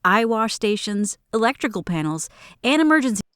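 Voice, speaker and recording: Woman narrator, higher-pitched, dry studio sound. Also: big pitch swings, brisk, a bit bright, clear, resonant tone, no room sound. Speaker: narrator of workplace safety training. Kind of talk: scripted instructional narration. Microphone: close studio microphone in a booth.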